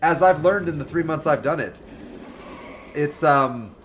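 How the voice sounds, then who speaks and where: A man in his twenties chatting casually with viewers while livestreaming a video game, joking and animated. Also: on the high side, measured pace, slight background noise. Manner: animated, joking, talks to chat by name. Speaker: game streamer.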